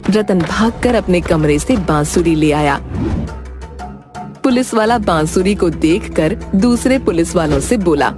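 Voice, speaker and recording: A woman's voice: fairly low pitch, animated, unhurried, music in the background. A woman narrator reading a scripted story in storytelling style.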